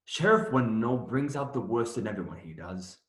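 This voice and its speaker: An actor playing a young minstrel, cheery by nature but troubled, earnest and folksy in a radio play. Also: male voice, mid-range voice, animated, normal speed, close to an everyday voice. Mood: troubled, bitter. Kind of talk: theatrical radio play acting. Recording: roomy echo.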